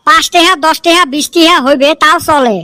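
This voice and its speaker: A woman's voice: sits high, fairly quick, pushed and bright, no room sound. A woman voicing a lazy, wisecracking village schoolboy in a comedy cartoon, with a western Assam accent. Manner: wisecracking, grumbling.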